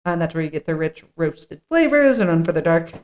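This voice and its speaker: A woman's voice: deep voice, sing-song, fairly quick, a little mellow. A woman co-hosting a podcast in an informal chat, partly reading from notes and thinking aloud. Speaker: podcast co-host.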